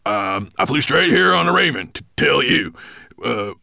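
An excitable little storybook dwarf, pitched high above the reader's own voice and leaping about. He stammers breathlessly and repeats himself, eager and nervous. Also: normal speed, creaky, no room sound, studio quality, full character voice. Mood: stammering, eager.

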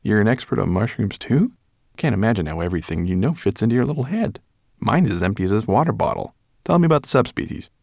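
A man voicing an excitable, curious young boy with a friendly temper in an audiobook. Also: lowish voice, fast talker, creaky, dry recording, studio quality. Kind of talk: audiobook character dialogue.

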